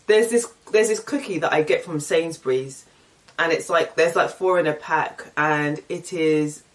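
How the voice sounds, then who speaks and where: A woman's voice: low-pitched, a little slow, distant mic. A young woman with an English accent chatting casually and candidly, reading out and answering get-to-know-me questions. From England. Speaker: vlogger.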